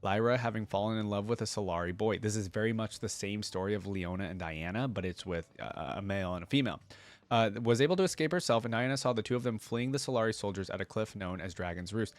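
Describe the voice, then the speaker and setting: A man hosting a casual reaction video, reading text aloud and thinking out loud with offhand asides. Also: on the low side, fast talker.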